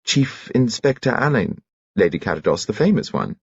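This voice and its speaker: A man's voice: mid-range voice, very animated, normal speed, vocal fry, breathy edge, dry recording, muffled recording, voice pushed up, exaggerated voice. A man doing a polite young secretary. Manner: polite, hesitant. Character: neat, earnest young woman secretary.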